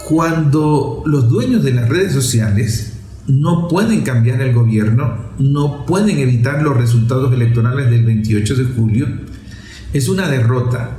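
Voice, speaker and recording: A man giving unscripted political commentary as a show host, didactic and deliberate, repeating phrases for emphasis. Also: mid-range voice, expressive, warm tone, faint music behind. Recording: echoey room sound.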